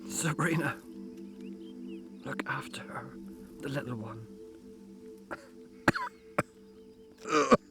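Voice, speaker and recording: An actor with a Northern Irish accent playing a mortally wounded, fiercely protective headmaster in an audio drama, with a gravelly voice. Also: male voice, medium pitch, drawn-out words, pauses often. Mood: dying, whispering. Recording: dramatic music behind the voice.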